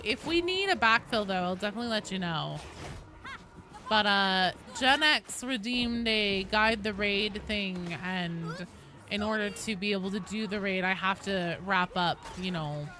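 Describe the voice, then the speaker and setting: A woman's voice: medium pitch, measured pace, pushed and bright, a little hiss or hum. A woman game streamer chatting casually and answering viewers, opinionated and enthusiastic, with casual swearing. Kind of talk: casual livestream chat reading and answering viewers.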